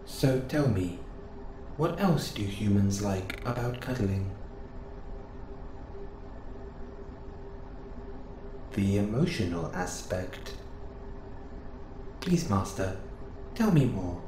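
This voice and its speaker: Man playing a low-voiced, formal robot assistant. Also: expressive, slow, pauses often. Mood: curious, reassuring. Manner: formal, precise, earnest phrasing. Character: gentle, curious, devoted male robot assistant. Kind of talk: intimate audio roleplay to the listener. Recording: roomy sound with clear background noise.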